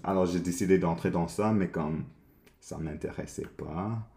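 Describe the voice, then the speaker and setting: A man from Montreal answers interview questions in a very low, deep voice. He speaks haltingly, circling back on his own words and switching into English mid-sentence. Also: mellow. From Montreal, Canada. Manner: halting, many restarts, slips into English. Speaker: guest. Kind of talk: casual interview.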